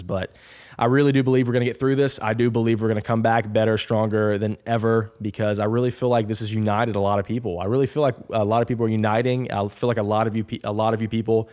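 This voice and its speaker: A man's voice: fairly low pitch, darkish tone. A man in his twenties hosting a casual podcast intro, rambling and upbeat with lots of restarts. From Alabama. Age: 20s. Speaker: podcast host.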